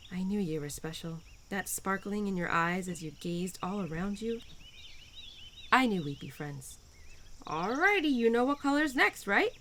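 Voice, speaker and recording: A woman plays a cheerful spirit of spring in an intimate audio roleplay. Her pitch swings in a lively way as she teases and encourages, getting flustered now and then. Insects and outdoor nature sounds are clearly heard behind her. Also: a little hiss or hum, lightly acted. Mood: fond, gentle. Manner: playful, encouraging, with flustered hesitations. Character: cheerful, playful, pun-loving spirit of spring, Mother Nature's daughter.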